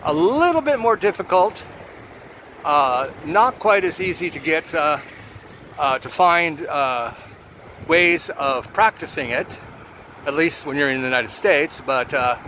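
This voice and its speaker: A man in his seventies talking about his life in a relaxed, reflective monologue, with many pauses. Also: on the high side, slight background noise. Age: 70s. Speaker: vlogger. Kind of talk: casual personal monologue.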